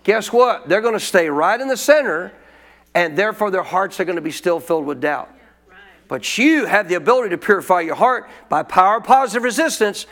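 Man, high voice, pastor preaching, colloquial call-and-response teaching. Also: animated, a little fast, fairly bright tone. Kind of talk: sermon teaching.

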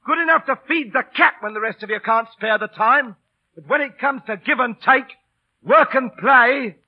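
High-voiced, wounded street busker. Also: male voice, breathy edge, full character voice. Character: hurt, proud male street busker, pleading and bitter. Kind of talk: 1940s radio play acting. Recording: dull, lo-fi old radio recording.

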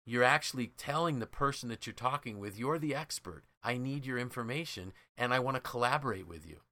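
A man's voice: mid-range voice, animated, brisk, bright, forceful tone, no echo. A man hosting a podcast, telling stories conversationally in an enthusiastic, emphatic way and acting out quoted dialogue. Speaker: podcast host and leadership trainer.

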